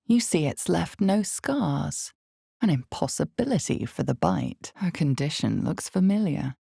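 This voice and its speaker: An actress voicing the narrator of a dark fantasy game, reading in slow, measured second person with a husky, creaky low voice. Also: female voice, no room sound, clean studio sound, exaggerated voice. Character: unseen female storyteller of a dark fantasy game. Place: studio.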